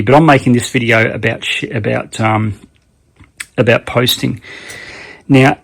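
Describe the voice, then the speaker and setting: Australian male, mid-pitched with a creaky edge, informal rambling demonstrator, plain-spoken and unscripted. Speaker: online seller giving a how-to demonstration. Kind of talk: casual unscripted how-to talk.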